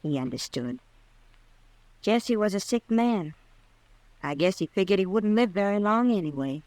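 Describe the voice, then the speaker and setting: A woman's voice: mid-range voice, lively intonation, moderate pace, darkish tone, no room sound, lightly acted. A woman playing a plainspoken frontier ranch woman with folksy Western speech, in old-time radio drama style. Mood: earnest, confessing.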